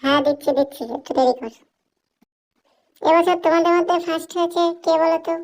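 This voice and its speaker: Performer as a strict cartoon teacher, high-pitched, very fast talking, bossy, scolding, comedy dub. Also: fairly even intonation, creaky, very noisy. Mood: instructing. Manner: bossy, instructing.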